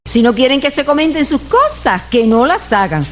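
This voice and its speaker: A woman's voice: sits high, sing-song, normal speed, a little hiss or hum. An actress playing an indignant, defiant woman in a radio drama.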